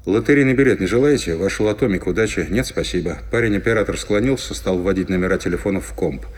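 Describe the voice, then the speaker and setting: A man's voice: sits low, quick pace, a little mellow. A man reading scripted narration for an audio play. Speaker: actor reading stage directions.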